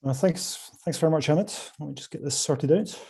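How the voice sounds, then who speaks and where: A Scottish man presents at a conference over a video call, his voice mellow and soft-edged. He talks with frequent filler sounds and restarts, and his level rises and drops through each phrase. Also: fairly high pitch, fairly quick, creaky, slightly rough. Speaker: conference speaker from a quantum computing company.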